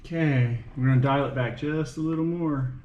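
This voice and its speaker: A man's voice: medium pitch, normal speed, dull audio. Man muttering commentary in an echoey, noisy garage. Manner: talks to himself, folksy and playful. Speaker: vlogger working on his car. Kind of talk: casual running commentary while working. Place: home garage. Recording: roomy garage echo, clear background noise.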